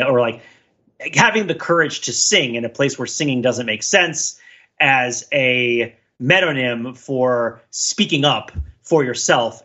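A man's voice: medium pitch, pushed and bright, husky. A man co-hosting a podcast, chatting casually and analytically, thinking aloud in long, qualified sentences. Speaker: podcast co-host. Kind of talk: casual analytical chat about television. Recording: dull, band-limited sound.

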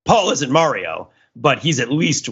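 A man hosting a podcast in casual chat, rambling through explanations in an animated, chuckling way. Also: medium pitch, a little slow, vocal fry, husky. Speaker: podcast host. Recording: dull, lo-fi audio.

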